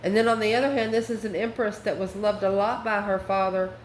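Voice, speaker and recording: A woman's voice: medium pitch, steady tone, fairly quick. A woman giving a casual, improvised tarot reading as an online host, musing aloud as she goes through the cards. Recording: roomy echo with faint background noise.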